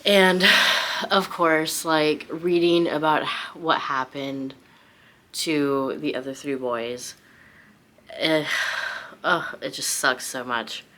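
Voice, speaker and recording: Young woman, book vlogger: low voice, informal and rambling, off-the-cuff chat. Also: steady tone, slow pace, fairly bright tone. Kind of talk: casual unscripted chat.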